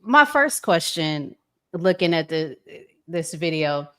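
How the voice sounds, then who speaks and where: A woman's voice: sits low, very animated, slow, fairly bright tone. An American woman hosting a casual relationship talk show, conversational and repeating words for emphasis. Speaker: host.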